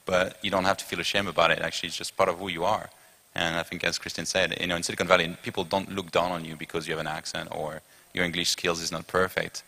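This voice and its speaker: A man with a French accent speaking on a conference panel, eager and quick, often correcting himself mid-sentence. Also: low-pitched, level tone, gravelly, pushed and bright. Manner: fast, eager, self-correcting talker.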